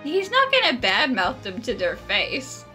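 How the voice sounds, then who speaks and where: A woman's voice: sits high, wildly swooping pitch, measured pace, loud music behind the voice. A woman giving playful, sarcastic gameplay commentary, joking along as she voices her own side of the dialogue. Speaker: gaming channel host.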